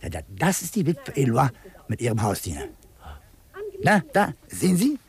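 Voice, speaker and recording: An actor playing a chatty, nosy old cemetery gardener in a 1950s German radio drama, husky and rambling. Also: male voice, mid-range voice, huge pitch swings, normal speed. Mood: eager, confiding. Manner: garrulous, teasing, rambling.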